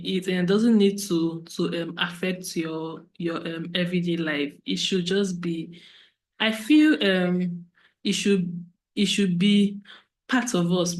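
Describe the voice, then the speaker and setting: A woman hosting a casual interview, asking questions hesitantly with repeated words and many ums. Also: on the low side. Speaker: podcast host.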